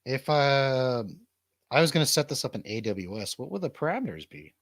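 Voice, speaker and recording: A man's voice: mid-range voice, expressive, brisk, dry recording, clean studio sound. A man hosting a talk stream, casually chatting and asking questions. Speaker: livestream show host. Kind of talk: casual chat, interviewing. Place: home studio, seated on a couch.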